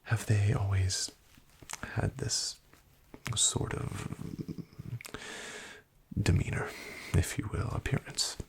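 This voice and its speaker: A man whispering softly as a polite, hesitant doctor in an ASMR roleplay, with a wry bedside manner. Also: fairly low pitch, slow, pauses often, a little hiss or hum. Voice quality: whispered, gravelly. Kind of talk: soft-spoken ASMR roleplay.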